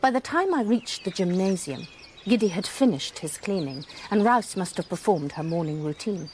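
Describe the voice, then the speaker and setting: An actress playing an observant, self-deprecating woman who narrates a radio drama in a reflective, expressive way. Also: fairly low pitch, moderate pace, a little hiss or hum, lightly acted. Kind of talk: first-person radio drama narration and dialogue.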